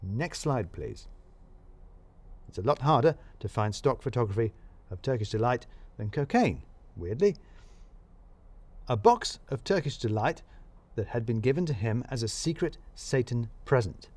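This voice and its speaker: A man's voice: medium pitch, expressive, measured pace, pauses often, darkish tone, no room sound. A man narrating a scripted audio drama as a detective presenting his case, dry and wry.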